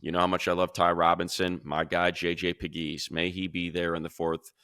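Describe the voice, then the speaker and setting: Deep-voiced man talking football. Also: level tone, fairly quick, pushed and bright, clear, resonant tone, no room sound, studio quality. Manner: conversational, opinionated, thinking aloud. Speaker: sports radio announcer and analyst. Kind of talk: casual unscripted football draft commentary.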